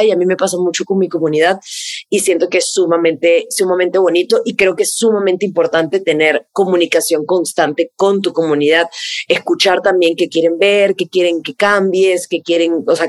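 A young woman from Venezuela whose accent has picked up Mexican features, answering interview questions in a fast, animated way and repeating words for emphasis. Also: lowish voice, dry recording. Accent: Venezuelan with Mexican influence. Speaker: content creator, guest.

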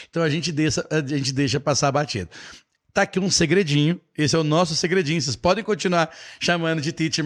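Male English teacher, Brazilian Portuguese accent, playful live-lesson host, slightly high voice. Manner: animated, playful, explaining with imitations. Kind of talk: live online lesson, reading viewer comments.

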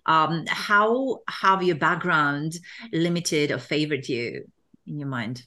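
A woman hosting a business interview, enthusiastic and affirming, often reacting with warm praise to her guest. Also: low-pitched, slow pace. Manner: enthusiastic, affirming, often says she loves it. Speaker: podcast host. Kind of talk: conversational interview.

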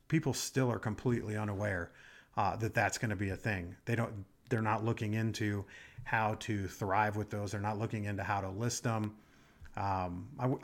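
A man hosting a solo podcast, talking energetically and emphatically in a casual, conversational way with frequent fillers. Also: on the low side. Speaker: podcast host. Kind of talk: solo motivational podcast talk.

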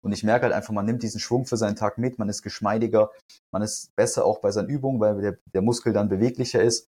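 A man with a somewhat low, husky voice talks in an earnest, explanatory way. He sounds like a health coach sharing what works for him, enthusiastic about the topic in a relaxed podcast chat. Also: fast talker. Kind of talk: podcast conversation.

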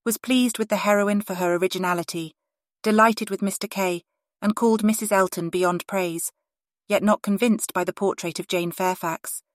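A woman's voice: mid-range voice, fairly even intonation, normal speed, dry recording, natural voice. Genteel Regency letter-writer played by a woman for period audio drama. Refined, candid, composed, middle register, dry studio. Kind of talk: dramatized reading of historical letters.

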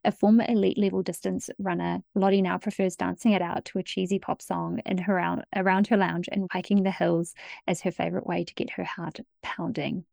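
A woman's voice: lowish voice, little pitch movement, fairly quick, vocal fry, darkish tone, no room sound. A woman hosting a podcast, reading a guest introduction and then chatting enthusiastically with her guest. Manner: enthusiastic, effusive praise. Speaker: podcast host. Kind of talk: warm podcast interview intro and chat.

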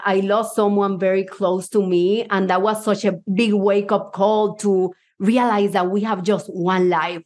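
A woman from Cuba with a Puerto Rican accent, Spanish her first language, giving an enthusiastic motivational talk to a live audience as a mindset coach. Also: mid-range voice. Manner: enthusiastic, personal storytelling.

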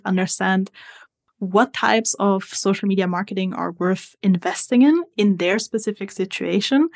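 A woman in her twenties from Italy with an Italian accent, a guest on a podcast, explaining her work step by step with frequent fillers. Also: medium pitch. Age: 20s. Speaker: social media strategist guest. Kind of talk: podcast interview.

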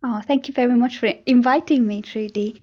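A woman from Hungary, a guest telling her story in a casual interview, earnest and dotted with fillers and soft laughs. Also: a little high, fairly quick, dull audio. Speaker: guest, NLP trainer and hypnotherapist.

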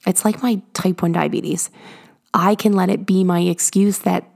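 A woman with a hoarse, raspy voice, rough with gravel and sitting a little low, hosts her podcast from a garage. She talks earnestly and encouragingly, stretching out the words she wants to stress. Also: steady tone, a little mellow. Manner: earnest, encouraging, drawn-out emphasis. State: hoarse from illness. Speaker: podcast host. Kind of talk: motivational podcast monologue.

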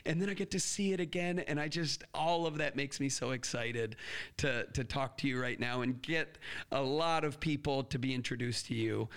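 A young man hosting a podcast interview, telling stories with lively acted-out quotes. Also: mid-range voice, normal speed. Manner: animated storyteller, acts out quotes. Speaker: podcast host.

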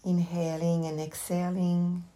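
A woman teacher leading a guided meditation with gentle, unhurried instructions and long pauses. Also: fairly low pitch, little pitch movement.

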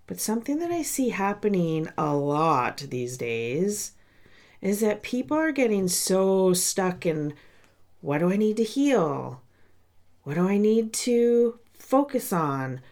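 A woman's voice: on the low side, darkish tone. A woman hosting her own podcast in a chatty, candid way, her voice nasal and congested from a sinus cold. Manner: chatty and candid, speaks to listeners directly. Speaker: podcast host and coach. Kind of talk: casual solo podcast monologue.

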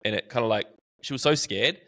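A man's voice: medium pitch, fairly quick, creaky, pushed and bright. A middle-aged Australian man, a podcast guest, talking casually and animatedly, telling stories with restarts and fillers. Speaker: podcast guest, marketing educator. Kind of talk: casual interview chat.

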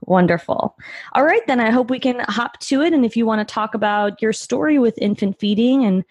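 A woman's voice: on the high side, animated, a little fast, husky, no echo. A woman hosting a podcast interview, upbeat and encouraging with her guests. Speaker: podcast host.

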